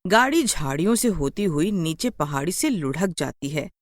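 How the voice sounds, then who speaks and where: A woman's voice: on the low side, very animated, moderate pace, no echo. A woman narrating a scripted horror story as a storyteller.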